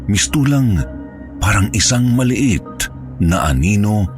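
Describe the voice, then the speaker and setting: A man's voice: fairly low pitch, animated, takes it slowly, over music. A man narrating a scripted horror story as its storyteller.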